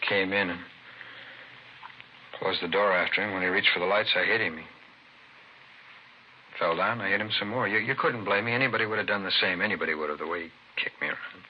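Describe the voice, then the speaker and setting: A man with an American accent playing a weary, aggrieved killer confessing to police in a halting mumble, in the style of 1950s radio crime drama. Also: sits low, husky. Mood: haunted, defensive. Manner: halting, mumbling, self-justifying. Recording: muffled, hissy vintage radio broadcast recording.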